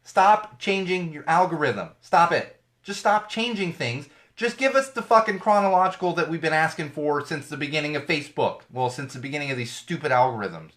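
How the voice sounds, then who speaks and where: A man's voice: fairly high pitch, expressive, fairly quick, a bit bright. A man hosting his own podcast, chatting casually and ranting with sarcasm and swearing about tech and social media, his voice slightly off from an ear infection. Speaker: podcast host. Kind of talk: casual solo show talk.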